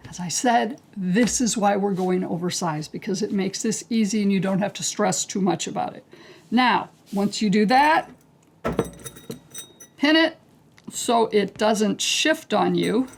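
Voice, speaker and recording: A woman teaching a quilting technique step by step, calm and unhurried, clearing her throat as she is losing her voice. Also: medium pitch, slight background noise. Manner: calm, unhurried, talks through each step as she works. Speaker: quilting instructor. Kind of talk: step-by-step craft tutorial.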